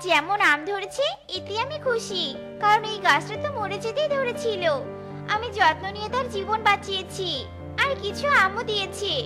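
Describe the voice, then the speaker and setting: Very high, kind little cartoon bird. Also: female voice, animated, moderate pace. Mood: proud, firm. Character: kind, earnest young female bird, cartoon heroine. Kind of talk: children's cartoon dubbing. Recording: loud children's music underneath.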